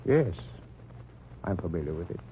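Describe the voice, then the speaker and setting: A man's voice: low voice, sing-song, normal speed. An actor with a German accent playing a wary, clipped German resistance man in a 1940s radio drama. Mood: cautious. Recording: lo-fi old radio transcription with hiss.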